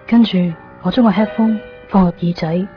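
A woman's voice: mid-range voice, steady tone, normal speed, soft background music, natural voice. An actress playing a melancholy young woman in a wistful Cantonese radio drama monologue. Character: melancholy young woman quietly leaving her love behind. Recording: dull lo-fi 1990s radio.

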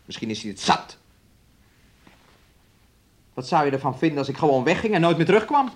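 Domineering young fiancé, higher-pitched man. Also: very animated, fairly quick. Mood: sullen, needling. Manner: speaks of himself and her in third person, taunting. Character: domineering, needling young fiancé, resentful and moody. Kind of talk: radio play acting.

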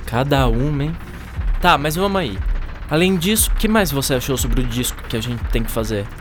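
Woman playing a low-voiced Brazilian teenage schoolboy. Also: expressive, moderate pace, music in the background. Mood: teasing, laid-back. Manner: casual, slangy, teasing. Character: joking, laid-back teenage schoolboy. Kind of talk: scripted educational radio drama.

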